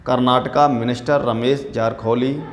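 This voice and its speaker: A man presenting political news commentary in a deliberate, halting manner. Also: mid-range voice, fairly even intonation. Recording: echoey room with long reverb.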